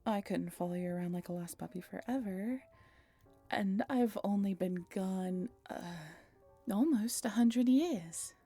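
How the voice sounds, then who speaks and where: A woman's voice: mid-range voice, a little slow, warm tone, husky, faint music behind. A woman voicing a breezy immortal who has come back after a long absence, talking in a loose, half-whispered way. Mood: casual. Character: returning immortal, once a fledgling, breezy and casual. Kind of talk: whispered audio roleplay.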